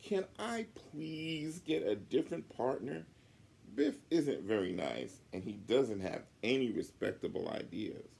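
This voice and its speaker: A man's voice: mid-range voice, sing-song, measured pace, vocal fry, darkish tone, exaggerated voice. A man voicing an earnest, fretful young schoolgirl in a storybook. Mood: earnest, pleading.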